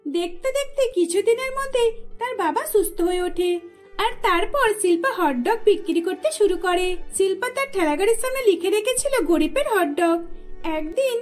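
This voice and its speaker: A woman's voice: very high voice, animated, normal speed. A woman narrating a scripted cartoon story as the storyteller. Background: faint music.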